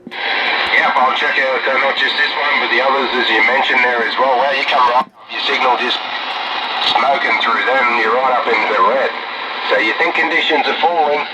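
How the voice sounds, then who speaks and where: A man's voice: mid-range voice, fairly even intonation, normal speed. A man with an Australian accent chatting casually as a radio operator over a two-way radio, rambling along in slangy radio talk. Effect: two-way radio transmission. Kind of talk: casual radio contact chat. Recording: muffled two-way radio with loud static.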